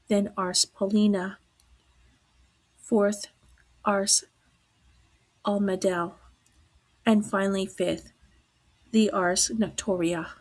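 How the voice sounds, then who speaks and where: A woman hosting a podcast in slow, deliberate speech, hoarse from losing her voice and dropping to a whisper at times. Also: mid-range voice, poor audio. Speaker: podcast host. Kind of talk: slow, deliberate talk on the occult.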